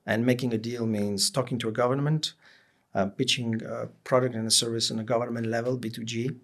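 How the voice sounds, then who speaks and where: A man's voice: medium pitch, level tone, brisk, fairly bright tone. A man in his late forties speaking reflectively as an interview guest, searching for his words as he goes. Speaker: interview guest, business executive.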